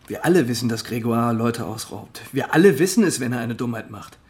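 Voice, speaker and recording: An actor playing an earnest young man, halting and emphatic, in a radio crime drama. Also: medium pitch, lively intonation, natural voice. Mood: agitated, confessing.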